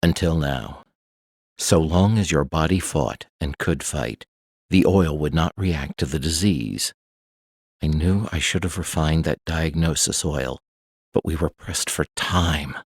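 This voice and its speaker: Very deep, haughty master mage. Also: male voice, measured pace, husky, no room sound, exaggerated voice. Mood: dry regret. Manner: formal, pompous, dry. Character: haughty, reserved, lean male master mage. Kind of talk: audiobook character acting.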